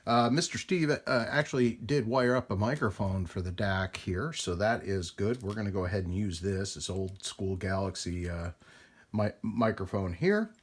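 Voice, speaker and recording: Low, husky-voiced man rambling casually. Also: normal speed. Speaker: host demonstrating vintage radio equipment. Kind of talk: casual hands-on vlog narration.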